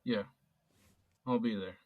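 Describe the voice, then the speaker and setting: A man's voice: fairly low pitch, fairly even intonation, slow pace, phone-quality sound, a little hiss or hum. A man playing a young adult son with an accused father, bantering with his friend and earnest when it matters. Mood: probing. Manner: joking banter that turns earnest. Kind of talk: amateur audio drama acting.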